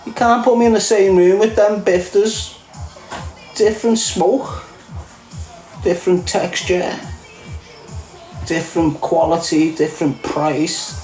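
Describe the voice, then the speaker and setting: A Scouse man rants at an unhurried pace, crude and mocking, laughing at his own jokes. His voice rings in an echoey room with faint music playing behind him. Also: sits high, fairly even intonation, a little mellow. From Liverpool, England. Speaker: online streamer. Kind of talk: ranting casual monologue.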